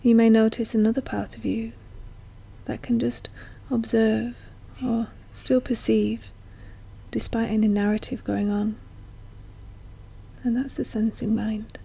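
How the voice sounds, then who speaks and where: A woman guiding a meditation in a soft, breathy, often near-whispered voice, speaking gently and slowly with long, soothing pauses. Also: a little high, level tone, rough recording. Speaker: guided meditation teacher. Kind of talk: slow guided meditation narration.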